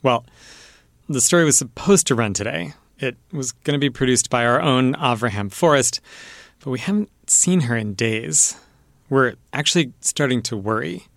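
A man's voice: medium pitch, expressive, moderate pace, gravelly, fairly bright tone, dry recording, clean studio sound. A man working as a podcast host, delivering scripted narration and staged banter.

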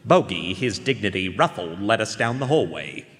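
An actor playing a rumpled, wry private eye who delivers deadpan hardboiled lines in a noir radio drama. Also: male voice, on the low side, moderate pace. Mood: deadpan narrating. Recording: live in echoey concert hall.

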